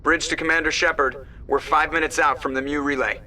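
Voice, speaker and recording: An actor playing a confident, casual starship pilot in a cinematic video game. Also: male voice, on the high side, fairly even intonation, brisk, bright, forceful tone. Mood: alert, dry. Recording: music behind the voice.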